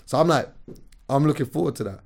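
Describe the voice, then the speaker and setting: A young man, hoarse from a recent illness, telling a story in animated, slangy casual chat as a podcast host. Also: on the high side, fast talker. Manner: animated storyteller, slangy, calls everyone bro.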